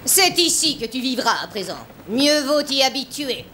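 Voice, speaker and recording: High-voiced, cold, bossy cartoon schoolmistress. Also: female voice, big pitch swings, moderate pace, very noisy. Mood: cold, commanding. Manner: stern, commanding. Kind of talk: French cartoon dub acting. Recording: music playing behind the voice.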